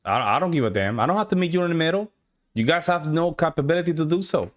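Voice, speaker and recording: A man's voice: a little high, lively intonation, fast talker. A man from Rico, a Spanish speaker, hosting a casual reaction stream in a blunt, dismissive, profane way. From Puerto Rico. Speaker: reaction video host. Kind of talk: casual live reaction commentary.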